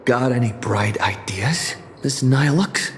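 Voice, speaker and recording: A man's voice: medium pitch, unhurried, a bit bright, long reverb tail, slight background noise, lightly acted. A male actor playing a defiant, theatrical young man in a video game, speaking with emphatic declamation. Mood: sly.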